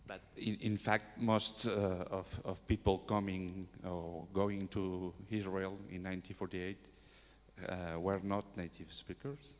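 Deep, slow male questioner, hesitant, in a hall with a faint hum. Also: level tone. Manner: halting, apologetic, many fillers. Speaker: audience questioner. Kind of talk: question at a conference.

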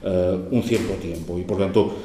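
A man's voice: low voice, fairly even intonation, normal speed, mellow. A man moderating a conference session and introducing the speaker, talking hesitantly with many fillers and restarts. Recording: echoey hall with faint noise.

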